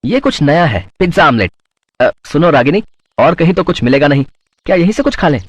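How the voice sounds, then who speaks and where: A man's voice: on the high side, sing-song, brisk, no echo. A man playing a polite, enthusiastic food blogger in a cartoon story. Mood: curious. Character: cheerful male food blogger, family man. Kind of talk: Hindi moral-story cartoon voice acting.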